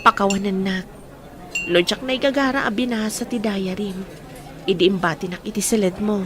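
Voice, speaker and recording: A woman's voice: mid-range voice, steady tone, normal speed, natural voice. A woman playing a frank, caring young friend in an audio drama, speaking earnestly with a Filipino accent. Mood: earnest, apologetic. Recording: faint background noise.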